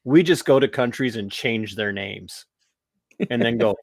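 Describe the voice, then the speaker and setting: An American man hosting a podcast, talking politics in a rambling, animated way with restarts and laughs. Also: mid-range voice, a little slow, no room sound. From United States. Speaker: podcast host. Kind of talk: casual political talk.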